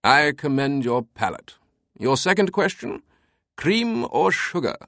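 A man voicing a theatrical, playful British aristocrat in an audiobook, with a crisp, light voice and a mock-grand British accent. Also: fairly high pitch, big pitch swings, unhurried, a bit bright, dry recording, clean studio sound, voice pushed up, exaggerated voice. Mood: playfully pompous. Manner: pompous, dramatic, mock-solemn.

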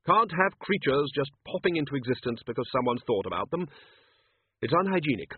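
A man voicing a bluff, brisk male wizard who speaks bluntly. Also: medium pitch, expressive, breathy edge, no room sound, voice pushed up. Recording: tinny lo-fi audio.

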